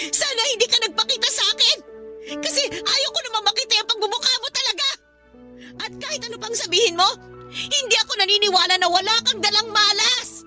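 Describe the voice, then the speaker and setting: A woman playing a spiteful middle-aged aunt in a Tagalog radio drama, scolding and blaming with bitter scorn. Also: very high-pitched. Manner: accusing, scolding, emphatic.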